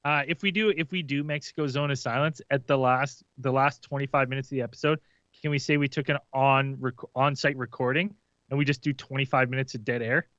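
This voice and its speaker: Bright-voiced, chatty podcast co-host man. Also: mid-range voice, steady tone, a little fast, no echo, lo-fi sound. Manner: rambling, announces winners, tells anecdotes. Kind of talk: casual group podcast chat.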